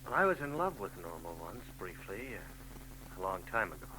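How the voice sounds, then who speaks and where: A man's voice: lowish voice, sing-song, normal speed, noisy background. A man playing a shifty, evasive man in a 1940s radio drama, stammering when pressed. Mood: wistful. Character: shifty, nervous adult man, former lover.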